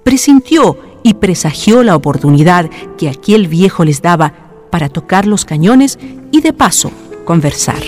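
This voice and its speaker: A man's voice: high voice, very animated, moderate pace, darkish tone, faint music behind. A man reading scripted narration for a radio drama.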